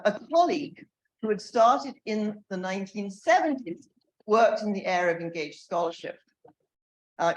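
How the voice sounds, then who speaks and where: An older woman speaking at an academic panel, earnest and loud, with frequent fillers and restarts. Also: mid-range voice. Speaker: panel speaker, emerita professor. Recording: echoey room.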